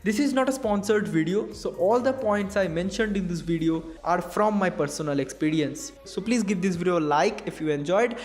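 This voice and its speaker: A young man with an Indian English accent, narrating a scripted gadget review in a high, light voice. His delivery is clear, measured and explanatory. Soft music plays faintly underneath. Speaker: tech reviewer. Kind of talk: scripted product review voice-over.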